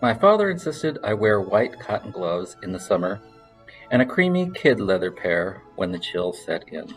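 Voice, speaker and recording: A man reading literary fiction aloud in a measured, expressive storytelling style, like an audiobook narrator. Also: on the low side, soft background music, low-quality recording.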